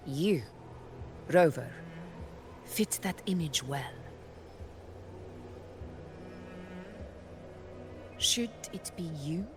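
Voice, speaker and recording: A woman voicing a proud, resolute warrior ruler in a video game, speaking in a formal, measured, commanding way. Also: fairly low pitch. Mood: intrigued, challenging. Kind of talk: dramatic game dialogue. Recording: music behind the voice. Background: background music.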